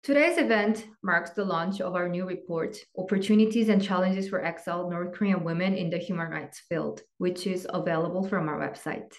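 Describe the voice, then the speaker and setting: A woman moderating an online event, reading measured, formal opening remarks over slides. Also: fairly low pitch.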